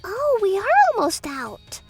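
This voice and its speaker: A woman voicing an anxious young newlywed wife in a manga dub, expressive and easily flustered. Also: very high-pitched, unhurried, soft background music, exaggerated voice. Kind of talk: manga dub first-person narration and dialogue.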